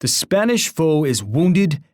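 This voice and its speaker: Solemn, dignified war leader, dry sound. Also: male voice, a little high, very animated, dry recording. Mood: grave, hopeful. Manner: measured, grave, formal declamation. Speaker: video game character. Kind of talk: scripted game voice lines, formal poetic oratory.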